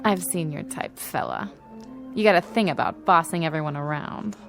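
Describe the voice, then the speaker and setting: A woman's voice: sits low, animated, normal speed, gravelly, fairly bright tone, heavily acted. An actress playing an outspoken young American woman in a radio drama, pointed and sarcastic. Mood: defiant. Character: spirited, outspoken young woman standing up to bullies. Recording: music bed under the dialogue.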